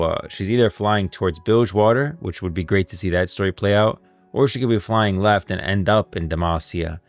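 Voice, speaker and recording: A man giving casual livestream commentary as a game lore streamer, musing and thinking aloud as he goes. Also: low-pitched.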